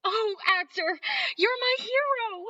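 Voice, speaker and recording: Bright, high-pitched naive young ingenue. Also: female voice, takes it slowly, lo-fi sound, exaggerated voice. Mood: gushing, urgent. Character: breathless, naive young ingenue actress, adoring and sweet. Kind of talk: old-time radio play acting.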